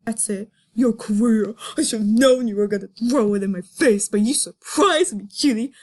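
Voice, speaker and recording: A woman's voice: a little high, normal speed, voice pushed down, natural voice. A woman voicing a hurt, accusing grown male fox, melodramatic and reproachful, in an amateur comic reading. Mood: bitter.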